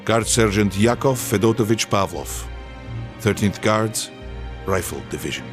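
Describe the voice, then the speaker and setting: A solemn Red Army soldier bearing witness in a war game cutscene. His man's voice is low and grave, with a measured delivery. Music is clearly heard underneath. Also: animated. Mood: solemn, proud. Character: solemn steadfast Soviet soldier giving wartime testimony. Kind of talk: dramatic quotation reading, video game cutscene. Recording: music behind the voice. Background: loud music.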